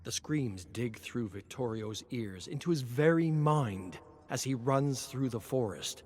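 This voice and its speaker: A man delivers dramatic scripted narration at a slow, deliberate pace. His voice sits at a middle pitch and shifts between hushed and intense. Some background ambience sits faintly under the recording. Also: lively intonation, slight background noise.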